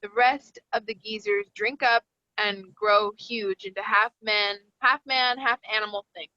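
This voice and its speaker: Bright-voiced woman reading stage directions. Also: medium pitch, fairly even intonation, unhurried, no echo. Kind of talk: scripted narration at a table read. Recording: dull, dry lo-fi audio.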